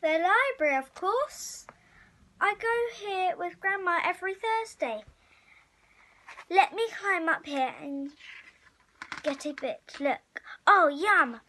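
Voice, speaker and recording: Very high-pitched child reading a story. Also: expressive, sharp tone, a little hiss or hum. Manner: acts out the story's child hero, halting over some words. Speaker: story reader. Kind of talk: expressive read-aloud of a picture storybook.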